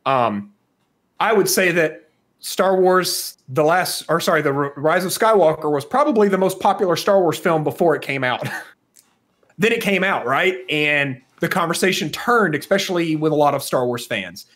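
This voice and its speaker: A man's voice: fairly high pitch, animated, moderate pace, fairly bright tone. A man co-hosting a podcast, talking casually and giving opinions with emphatic stress on key words. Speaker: podcast co-host.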